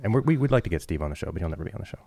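A man from Canada hosting a radio show, halting and jokey as he often repeats his words. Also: low-pitched, very animated, gravelly, mellow, no echo. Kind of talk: casual interview chat. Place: radio studio.

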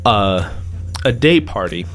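A young man with a stuffed-up, nasal voice, rambling loudly in a casual chat and often breaking off his own sentences. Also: on the low side, lively intonation, slow, soft background music. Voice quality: loud. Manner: rambling, self-interrupting, laughs often. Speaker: co-host.

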